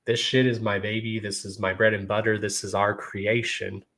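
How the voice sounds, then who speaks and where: A man in his thirties hosts his own show, speaking in a fairly low voice. He keeps it relaxed and conversational, sprinkling "you know" and "y'all" through a casual monologue to the people watching. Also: normal speed. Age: 30s. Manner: laid-back, repeats "you know" and "y'all". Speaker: podcast host.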